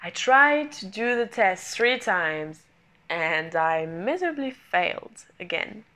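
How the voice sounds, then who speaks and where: A woman in her twenties speaks English with a French accent, clearly not her first language. She tells her own story in an earnest, personal way, repeating and reworking phrases as she goes. Her pitch sits in a middle range for a woman. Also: sing-song, slow, sharp tone. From France. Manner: earnest, repetitive phrasing, non-native English grammar. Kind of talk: personal motivational monologue.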